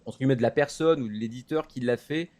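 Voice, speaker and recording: A man's voice: mid-range voice, quick pace, darkish tone. A man, explaining and discussing casually as a panelist on a talk show.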